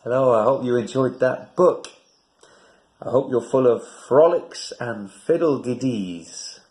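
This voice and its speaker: School guy talking to little kids and parents, encouraging, really slow pace. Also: medium pitch, a little mellow. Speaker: school staff member. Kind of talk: gentle address to young pupils and parents.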